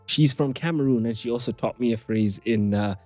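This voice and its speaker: A man's voice: mid-range voice, brisk, mellow, no echo, soft background music. A man hosting an interview, talking in an earnest, meandering way with many hesitations. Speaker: podcast host.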